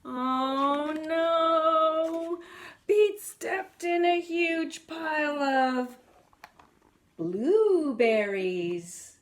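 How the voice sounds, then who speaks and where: A woman reading a picture book aloud to young children in an animated way, putting questions to her listeners and exclaiming along with the story. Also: sits high.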